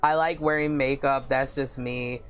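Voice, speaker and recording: A woman with a deep voice, chatting candidly on a live stream and reading viewer comments aloud, with lots of "you know" fillers. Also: steady tone, a little slow, smooth.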